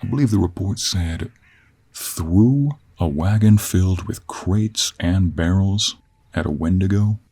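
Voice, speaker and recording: A man playing a gruff, teasing, weary 49-year-old cowboy monster hunter in an intimate audio roleplay, with a husky Western American drawl. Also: low voice, dark tone, full character voice. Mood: wry, musing. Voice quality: gravelly, husky. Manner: slow, drawling, with heavy sighs and pauses. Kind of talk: intimate audio roleplay acting, addressed to the listener.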